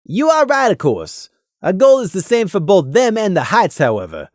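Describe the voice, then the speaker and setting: A woman's voice: medium pitch, huge pitch swings, normal speed, darkish tone, no room sound, clean studio sound, pitched above the performer's own voice. A woman voicing a burdened male pony sergeant with a brusque, commanding, clipped way of talking in an audio story.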